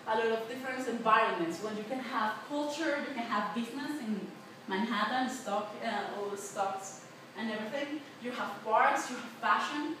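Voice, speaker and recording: Colombian girl in her 20s doing a class talk, lots of uhs, kinda high voice, far off mic, super echoey room. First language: Spanish. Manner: chatty, with many fillers and restarts. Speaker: student presenter. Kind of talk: class presentation. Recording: echoey, noisy room from a distance.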